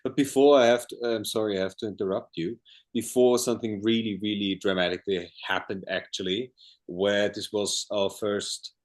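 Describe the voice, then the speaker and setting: Deep-voiced man, eager and laughing. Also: steady tone. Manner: eager, laughing, halting phrasing. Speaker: guest. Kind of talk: casual chat.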